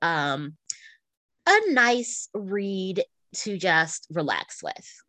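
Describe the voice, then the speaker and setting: A woman with a slightly low voice and a close, dry sound co-hosts a casual podcast. She talks in an enthusiastic, conversational way, like someone sharing recommendations. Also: big pitch swings, drawn-out words, sharp tone, no echo. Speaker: podcast co-host. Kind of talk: casual chat, recommending books.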